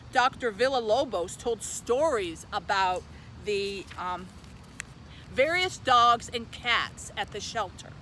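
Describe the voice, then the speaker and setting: A woman's voice: on the high side, fairly bright tone, a little hiss or hum, rough recording. A woman speaking as a youth librarian, presenting and reading a children's book aloud, recorded on location.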